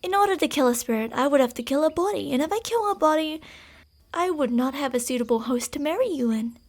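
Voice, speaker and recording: A woman voicing an obsessive female fox spirit in a borrowed human body, speaking in a calm, formal, quietly manipulative way that dips into whispers. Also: high voice, fast. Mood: composed. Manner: soft-spoken, dipping into whispers. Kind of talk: ASMR roleplay.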